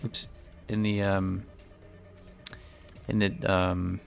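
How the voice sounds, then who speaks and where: A man with a low, gravelly voice talks through his code in a casual, halting way, often restarting his sentences. He sounds slightly stuffed-up and nasal, as if he has a light cold. Soft music plays faintly behind him. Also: level tone. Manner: thinks aloud, hesitant, many restarts. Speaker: game developer streaming his work. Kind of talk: casual live-coding commentary.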